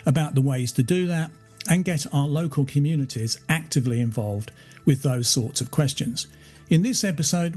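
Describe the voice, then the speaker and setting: A man hosting a podcast, reading a formal, measured scripted introduction. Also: on the high side, warm tone, breathy edge. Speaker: podcast host.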